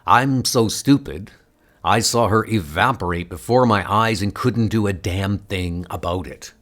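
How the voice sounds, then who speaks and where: Man playing a determined, slow-talking male superhero. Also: lowish voice, a bit bright. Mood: anguished. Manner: earnest, insistent. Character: determined, anguished male superhero searching for his niece. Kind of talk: comic book dub acting.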